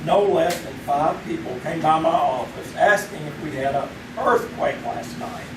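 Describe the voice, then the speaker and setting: A man's voice: fairly high pitch, unhurried, noisy background, natural voice. Wry middle-aged dad , a man plays him, echoey stage, far mic. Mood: puzzled. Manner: dry, wry. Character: middle-aged father, dry businessman. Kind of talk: live old-time radio acting. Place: live theater stage.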